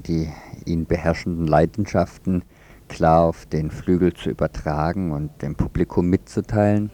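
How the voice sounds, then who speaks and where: A man's voice: sits low, dark tone. A man reading scripted reports and news as a radio presenter.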